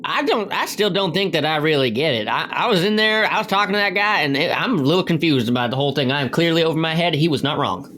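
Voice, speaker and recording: A man's voice: a little high, expressive, fast, hardly any pauses, bright, forceful tone, a little hiss or hum. A man playing a hapless small-time gang crook who stammers and rambles as he defends himself, in a tabletop game. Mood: flustered, apologetic. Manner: stammering, self-justifying, rambling.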